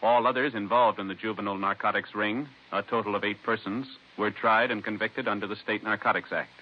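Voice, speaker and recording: A man's voice: on the low side, fairly even intonation, a little fast. A male radio announcer reading formal scripted narration in 1950s style. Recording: dull old radio recording with music.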